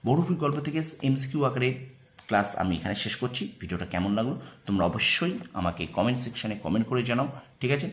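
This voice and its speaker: A man's voice: mid-range voice, animated, fast, slight background noise. A man teaching an informal exam-prep lesson, instructive and emphatic, repeating points and often checking that students follow. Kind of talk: informal exam lesson, reading out questions and answers.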